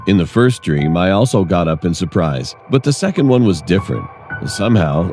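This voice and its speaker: A man narrating a scripted first-person horror story in a measured, dramatic storytelling manner. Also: low voice, warm tone.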